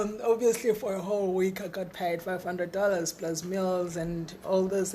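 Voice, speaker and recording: A middle-aged woman with a husky voice giving an eager, encouraging webinar talk as a trainer, stumbling and repeating words now and then. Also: fairly low pitch, warm tone, a little hiss or hum. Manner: stutters at times, repeats words; enthusiastic, encouraging. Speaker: facilitator and trainer.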